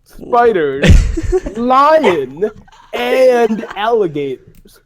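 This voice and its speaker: Animated high-voiced man reading a script aloud. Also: extremely slow. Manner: animated, theatrical, breaks off into asides. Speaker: narrator of a dramatic reading among friends. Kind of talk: playful group script read-through.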